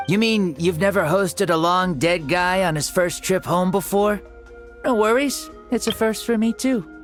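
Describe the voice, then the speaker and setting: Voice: medium pitch, measured pace, lightly acted. An actor voicing an ancient male fire god who now speaks through a boy's body, playful and full of jokes in a video game cutscene. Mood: joking. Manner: playful, joking, self-assured. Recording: game audio with faint music.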